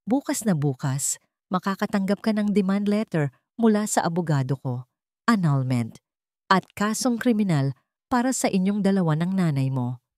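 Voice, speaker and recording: A woman's voice: mid-range voice, expressive, normal speed, a little mellow, no echo, natural voice. A wronged wife played by a woman reader speaks with bitter defiance, finished with being used. It is dramatic audiobook dialogue, recorded dry and close. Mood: cold, resolute.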